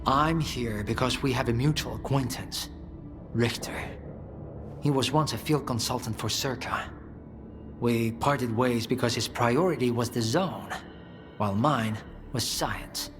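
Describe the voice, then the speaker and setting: An actor playing an earnest, measured male scientist in a video game cutscene, deliberate and sometimes dropping into hushed, breathy phrases. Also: mid-range voice, over music, lightly acted. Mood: urgent, earnest.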